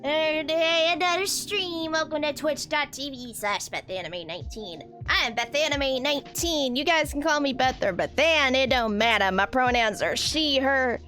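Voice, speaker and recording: A woman's voice: high voice, expressive, moderate pace, hardly any pauses, sharp tone. A young woman chatting casually as she hosts a video game livestream. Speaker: game streamer. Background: game music.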